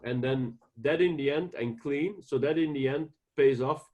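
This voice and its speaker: A man with a Belgian accent, a guest ranch owner talking earnestly in an interview, often pausing on fillers and restarting. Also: medium pitch, phone-quality sound. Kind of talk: casual interview.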